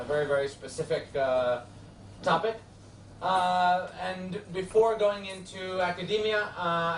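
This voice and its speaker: A law professor with a New York accent gives a friendly, halting classroom lecture full of filler sounds. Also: male voice, a little high, slight background noise. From United States. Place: lecture hall. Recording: echoey hall from far off.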